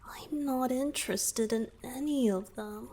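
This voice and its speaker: A woman voicing a young merman boyfriend in a romantic ASMR roleplay, shy, teasing and affectionate, speaking softly and hesitantly and often dropping into intimate whispers. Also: on the high side, measured pace, slightly rough. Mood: weary, confiding.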